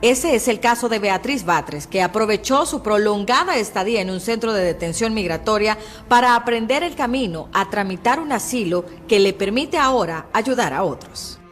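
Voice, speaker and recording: A woman's voice: medium pitch, animated, moderate pace, soft background music. A woman hosting a television program, delivering a scripted introduction to the audience at home. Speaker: TV program host. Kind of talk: scripted TV presentation.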